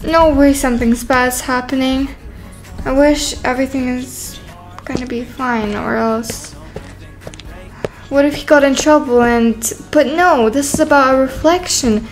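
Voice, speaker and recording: Woman acting a high-voiced, playful young girlfriend. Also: a little slow, rough recording. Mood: worried, suspicious. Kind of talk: scripted roleplay story voice acting. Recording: music behind.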